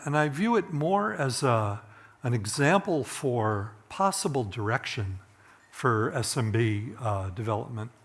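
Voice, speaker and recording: A middle-aged man with a slightly hoarse voice, presenting a technical conference talk in an informal, conversational way, thinking aloud as he goes. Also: mid-range voice. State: voice getting hoarse.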